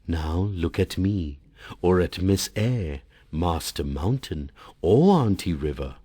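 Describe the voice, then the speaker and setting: Male reader doing a calm sun figure, low slow gentle tone. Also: breathy edge, dry recording, full character voice. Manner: gentle, reasoning.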